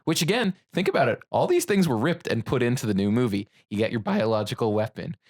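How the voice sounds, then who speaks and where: A man's voice: medium pitch, expressive, fast, a little mellow. A man co-hosting a podcast in a casual, conversational way, ready to argue his point. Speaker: podcast co-host. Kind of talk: casual film discussion.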